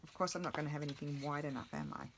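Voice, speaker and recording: A woman vlogging while she crafts, chatting casually and thinking aloud, her voice a little croaky from the morning. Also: sits low, big pitch swings, rapid-fire, noisy background. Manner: rambling, self-interrupting, thinking aloud.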